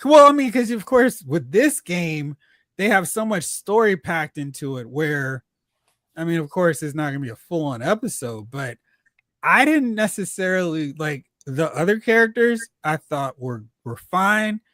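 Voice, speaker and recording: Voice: medium pitch, very animated, measured pace, fairly bright tone, dry recording. Casual talk show host, dry close sound. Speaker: host of a film and TV discussion show. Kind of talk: casual pop culture discussion.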